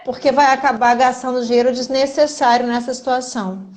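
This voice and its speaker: A woman from Brazil hosting a live talk for her students, speaking in a measured way as she sums up and thanks them. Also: on the high side, steady tone, a little mellow, slight background noise. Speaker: host, instructor. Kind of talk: live chat with audience.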